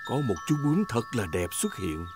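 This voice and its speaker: A man narrating a children's cartoon from a script, in an expressive storytelling manner. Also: mid-range voice, normal speed, over music.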